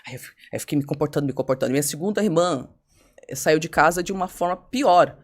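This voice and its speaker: A woman's voice: low voice, fast. A woman guest on a podcast, telling a personal story candidly and acting out her own past words.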